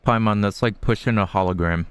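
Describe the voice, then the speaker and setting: A man's voice: lowish voice, fairly quick, clean tone. A young man giving casual, laid-back gaming commentary with joking, profane asides. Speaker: streamer.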